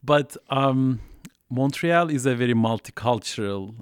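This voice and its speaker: A man's voice: mid-range voice, unhurried, clear, resonant tone, no room sound, studio quality. A man in his thirties from Turkey, earnestly telling his life story as a guest in a classroom. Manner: earnest, repeats "so", laughs lightly. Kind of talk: personal storytelling in interview. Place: college classroom.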